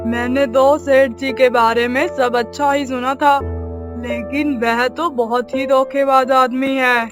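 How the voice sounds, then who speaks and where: A woman's voice: sits high, fairly even intonation, slow pace, over music. A woman playing a kindly, concerned male village landlord in a cartoon story, earnest and solicitous. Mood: regretful, earnest. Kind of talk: scripted cartoon story dialogue.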